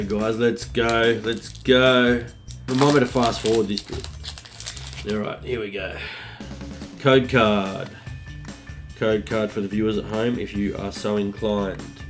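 Slightly husky upbeat man. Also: fairly low pitch, steady tone, takes it slowly, long pauses, music in the background. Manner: upbeat, hype-building, chatty. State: slightly husky from a recent bug. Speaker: card-opening YouTuber host. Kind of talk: casual pack-opening vlog. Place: home room with colored lights. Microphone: studio mic on a desk arm.

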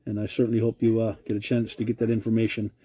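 A man's voice: fairly low pitch, monotone, fast, mellow, slightly rough. A man making a CB radio contact, polite and using radio procedure words. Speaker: CB radio operator.

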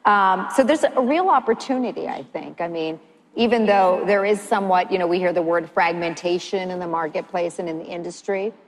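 A woman in her forties leads a stage panel with lively, gesturing chatter. Her voice sits a bit low and rings out into a large hall, with a faint hum behind. She speaks like a practiced anchor. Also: brisk. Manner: animated, gesturing, chatty with fillers. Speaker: panel moderator, news anchor. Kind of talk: conversational panel talk. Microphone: lapel mic. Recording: long hall reverb, faint room noise.

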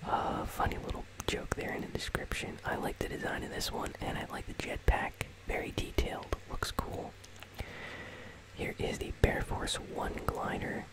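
Hushed ASMR whisperer hosting gaming commentary. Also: moderate pace, rough recording. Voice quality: breathy, unvoiced whisper. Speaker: host of a gaming channel. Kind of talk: ASMR whispered commentary on a game item shop.

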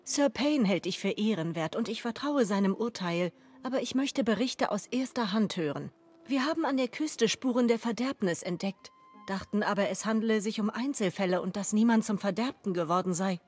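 An actress playing a worried, dutiful regent in a fantasy video game, speaking formally and earnestly. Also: female voice, mid-range voice, expressive, normal speed, a little mellow, slightly rough. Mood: grave, urgent.

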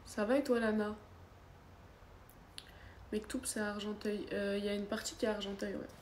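A woman's voice: medium pitch, flat delivery, normal speed, darkish tone. A young woman with a cold, chatting casually on a phone livestream from her sofa and answering viewers' comments. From France. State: has a cold. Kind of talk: casual live chat. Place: living room, seated on a sofa, indoors. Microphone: phone camera at arm's length. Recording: echoey room with faint background noise.

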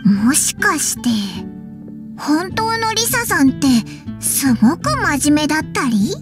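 A woman voicing a tiny, childlike fairy companion in an anime game, excitable, cheeky and squeaky. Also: measured pace, music in the background. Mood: curious, cheeky. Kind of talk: anime video game voice acting.

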